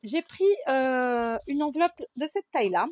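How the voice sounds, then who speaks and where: A woman's voice: high voice, lively intonation, unhurried, darkish tone, dull audio. A French woman hosting a casual craft tutorial, chatting and often checking in with her viewers with "d'accord?". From France. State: runny nose. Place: home craft table with a fan running.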